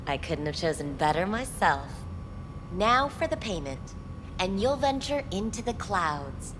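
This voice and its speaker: Polished, animated club receptionist, noisy rumble behind. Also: female voice, unhurried. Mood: polished, reassuring. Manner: formal, smooth customer-service patter. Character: polished, unflappable female receptionist selling a futuristic club's services. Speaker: receptionist at a futuristic pleasure club. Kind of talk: scripted video game acting. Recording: clearly noisy rumbling background.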